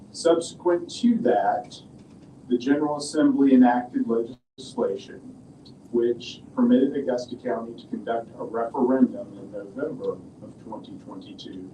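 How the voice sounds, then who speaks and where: A man with a dark, mellow voice lays out legal history in a measured, procedural way. He sounds set back from the mic in a roomy, echoey council chamber with a faint hiss behind him. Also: mid-range voice. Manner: measured, procedural, cites code sections and dates. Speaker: city attorney. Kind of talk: formal legal presentation at a council meeting. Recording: echoey chamber audio from far off, faint noise.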